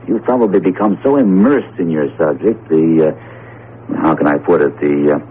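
A muffled 1970s radio drama recording with some hiss. a skeptical, jovial professor with a low voice, explaining things in a breezy, colloquial and self-assured way. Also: male voice, normal speed. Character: breezy, skeptical male psychology professor, hearty eater. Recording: muffled noisy old radio broadcast.

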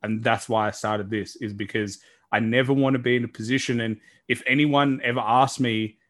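Man, deep voice, earnest and reflective podcast host. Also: fairly even intonation, normal speed, fairly bright tone. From Australia. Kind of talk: casual interview talk.

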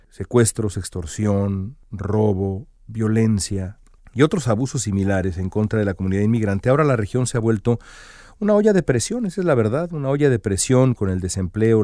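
A man's voice: on the low side, warm tone, no room sound, studio quality. A man delivering earnest, emphatic news commentary as the show's host.

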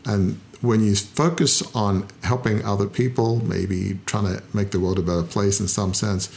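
A middle-aged man talking in an interview, reflective and self-deprecating, often pausing with "uh" as he thinks. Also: on the low side, fairly even intonation, gravelly, darkish tone. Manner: thoughtful, self-deprecating, frequent "uh" pauses. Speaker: founder and interview guest.

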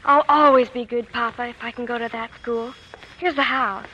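Earnest little girl in old 40s radio play, high bright voice, pleading and breathless. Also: fairly even intonation, normal speed, highs cut off. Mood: hopeful. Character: eager, earnest young schoolgirl daughter, bookish and adoring. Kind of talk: 1940s radio drama acting. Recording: noisy vintage 1940s radio recording.

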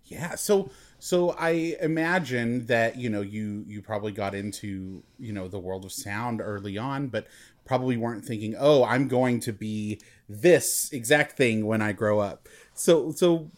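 A man's voice: fairly low pitch, measured pace. A man hosting a podcast interview, asking friendly questions and often repeating words as he starts them. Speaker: podcast host. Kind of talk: casual interview.

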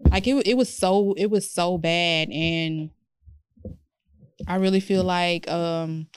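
A young woman from Houston, speaking, tells a long story to her co-hosts in a candid, rambling way and leans on "like" and "literally." Her nose sounds stuffy, but her voice is otherwise smooth and clear. The room is dry, with no echo. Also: lowish voice, slow pace. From Houston, Texas. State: stuffy, congested. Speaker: podcast co-host. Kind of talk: casual chat.

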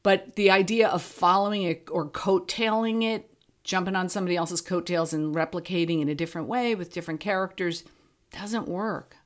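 A woman's voice: fairly low pitch, normal speed. A woman hosting a podcast, talking casually and conversationally. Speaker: podcast host; fiction podcast creator.

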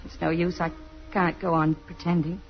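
A woman's voice: fairly low pitch, level tone, moderate pace, lightly acted. An actress in an old-time American radio drama, playing an earnest woman in love and torn by conscience. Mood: pained, tender. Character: earnest, lovestruck female concert musician, torn by conscience. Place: radio theatre stage. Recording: muffled, hissy vintage radio recording.

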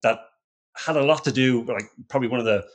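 A man's voice: medium pitch, fast. A middle-aged man with an Irish accent, a guest on a podcast interview, talking in a loose conversational way with frequent restarts. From Ireland. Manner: relaxed, conversational, many restarts and fillers. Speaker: guest, company founder.

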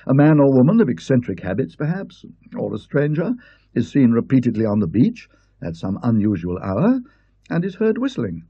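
A prim middle-aged professor lectures in a precise, fussy manner, as a man voices him. His pitch leaps about with lively inflection, and the voice has a husky edge in a dull, lo-fi recording. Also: moderate pace, dry recording. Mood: lecturing. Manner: precise, fussy, slightly hesitant.

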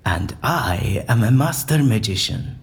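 An actor playing an eccentric, erudite man in an audio drama, speaking in a florid, pompous and animated way. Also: lowish voice, a little slow. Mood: haughty, playful. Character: eccentric, theatrical, erudite man, convinced his interrogation is staged.

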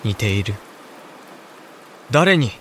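A man's voice: lowish voice, sing-song, extremely slow, a little hiss or hum, exaggerated voice. A man playing an earnest young samurai heir, formal, respectful and intense with his father, in a Japanese historical audio drama. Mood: bewildered.

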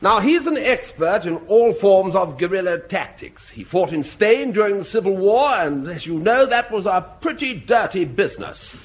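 An actor playing a genial, paternal English army major in a British sitcom, breezy and well-mannered. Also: male voice, sits high, sing-song, normal speed, few pauses. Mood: genial, brisk.

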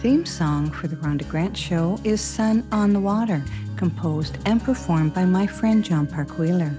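A woman hosting an interview podcast, halting and filler-heavy when she asks questions. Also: on the low side, over music. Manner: hesitant, many fillers and restarts. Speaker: podcast host. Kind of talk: interview and show outro.

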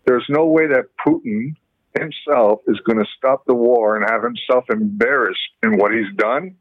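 A man's voice: mid-range voice, moderate pace, no room sound. Blunt man calling in. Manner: blunt, insistent. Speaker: caller. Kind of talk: radio phone-in call. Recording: dull phone-line audio.

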